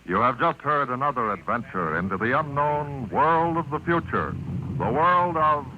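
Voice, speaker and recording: A man's voice: mid-range voice, animated, moderate pace. A man with an American accent announcing in a scripted 1950s radio style. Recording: muffled vintage radio broadcast, music behind.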